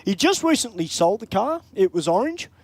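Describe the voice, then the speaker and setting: A man's voice: sits high, sing-song, normal speed. A man with an Australian accent calling drag races in live commentary, chatty and upbeat in easy banter.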